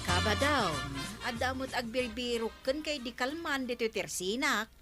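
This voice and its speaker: A woman's voice: a little high, normal speed, over music, natural voice. A woman with an Ilocano accent playing a chatty, exasperated village wife in a Filipino radio comedy drama.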